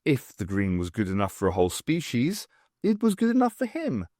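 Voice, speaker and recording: A man reading scripted fiction aloud in an expressive, dramatic storytelling manner. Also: medium pitch, fairly quick, dry recording, clean studio sound. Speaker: audiobook narrator. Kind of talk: scripted fiction narration with character voices.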